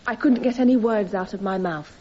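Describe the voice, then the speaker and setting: Radio drama: actress as young female defendant, warm dark tone, impassioned and unyielding, slightly dull recording. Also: medium pitch, brisk, a little hiss or hum, close to an everyday voice. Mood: guarded. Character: earnest, defiant young woman defendant on trial. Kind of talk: radio courtroom drama acting.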